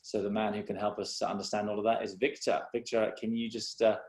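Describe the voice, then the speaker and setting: A man's voice: lowish voice, little pitch movement, brisk, a little mellow, echoey room. A man moderating a webinar panel, introducing a guest and asking loose, informal questions with many fillers.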